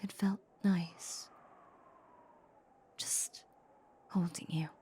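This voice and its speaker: A woman playing a tender, possessive vampire countess in an intimate ASMR audio drama, speaking in a soft, breathy, coaxing whisper. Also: on the low side, steady tone. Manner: slow, coaxing, intimate murmur.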